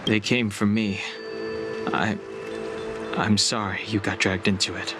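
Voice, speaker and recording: A reserved, earnest young man of dragon descent, voiced by a man in a game. He speaks in a low-leaning, calm and measured way. Very loud game music fills the background. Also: natural voice. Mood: apologetic. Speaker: game character.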